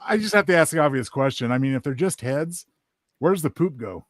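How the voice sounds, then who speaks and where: Fast-talking, higher-pitched man, casual. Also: lively intonation, a bit bright, dry recording, clean studio sound. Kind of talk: casual joking commentary over a radio play.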